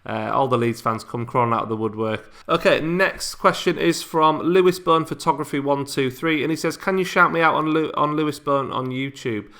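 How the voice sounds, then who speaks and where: A man's voice: fairly high pitch, moderate pace, few pauses, bright, forceful tone. A man, answering subscriber questions in a casual, chatty way with frequent ums and restarts. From England. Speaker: YouTuber.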